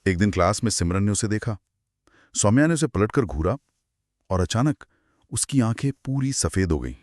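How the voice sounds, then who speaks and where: Animated man telling horror stories. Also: on the low side, moderate pace, no echo, clean studio sound. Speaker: host narrating horror stories. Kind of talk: conversational horror storytelling.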